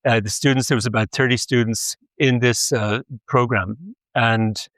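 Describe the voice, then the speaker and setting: An older man lecturing, speaking in a measured way with frequent pauses and hesitations. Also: medium pitch, steady tone, fairly bright tone, no echo. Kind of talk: academic lecture.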